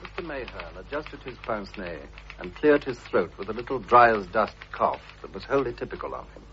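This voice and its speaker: A man's voice: fairly low pitch, expressive, moderate pace, fairly bright tone. A man giving a dramatic reading of a story. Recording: dull, noisy vintage radio recording.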